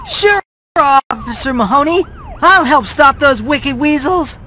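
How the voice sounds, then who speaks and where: High-voiced plucky boy mouse. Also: animated, a little slow, pushed and bright, slight background noise, exaggerated voice. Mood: polite, earnest. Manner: chipper, helpful, earnest. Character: plucky, eager, polite young boy mouse. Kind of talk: video game cutscene voice acting.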